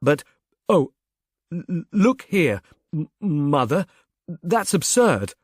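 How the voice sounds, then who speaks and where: A man's voice: fairly high pitch, sing-song, slow pace, breathy edge, dry recording, studio quality, voice pushed up, full character voice. A man voicing an eager, dim-witted grown son who stammers heavily and blunders on earnestly in an audiobook. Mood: flustered protest. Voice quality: heavy stammer.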